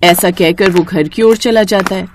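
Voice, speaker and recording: A woman's voice: lowish voice, moderate pace, slight background noise. A woman narrating a scripted story in storybook style.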